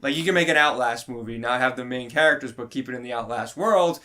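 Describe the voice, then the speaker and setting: A man's voice: mid-range voice, fast talker, crowd noise. A male podcast host with a mid-range voice, chatting casually in a group discussion. He builds his points conversationally, throwing out questions and hypothetical examples.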